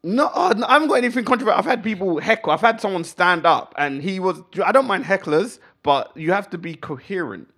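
A young male comedian chats casually as a radio guest. His slightly high voice swings widely in pitch as he jokes in slang and repeats phrases for emphasis. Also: expressive, fast talker, few pauses, fairly bright tone. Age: young adult. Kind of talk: casual comic chat.

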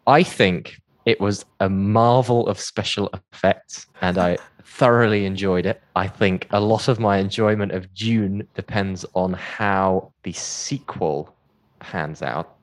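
A man's voice: on the low side, takes it slowly. A man interviewing as a radio host in a casual, jokey, bantering way. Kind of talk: casual playful interview chat.

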